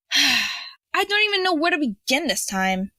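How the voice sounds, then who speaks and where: A woman performs a rich, haughty young student with a dry, sardonic wit. The voice is high and swoops expressively while scolding, recorded close and dry for an audio drama. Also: very animated, measured pace, bright, forceful tone, dry recording, exaggerated voice. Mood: exasperated, scolding.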